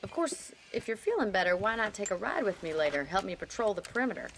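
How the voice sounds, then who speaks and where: Bright lively ranch gal, teasing and brisk in a western game. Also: female voice, mid-range voice, a little hiss or hum. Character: spirited young woman rancher, forthright and teasing. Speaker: ranch owner. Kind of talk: video game cutscene dialogue.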